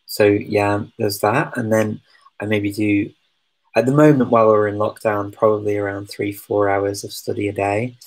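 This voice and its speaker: A man's voice: lowish voice, flat delivery, normal speed, low-quality recording. A man answering viewer questions in a casual live chat, talking hesitantly with many ums and restarts. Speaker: language-learning streamer answering viewer questions.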